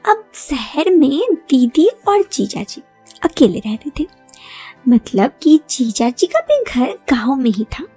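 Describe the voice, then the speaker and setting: A woman's voice: high-pitched, big pitch swings, slow pace, dark tone, soft background music. A young woman narrating a first-person story in a chatty, confiding way, often addressing listeners with rhetorical asides.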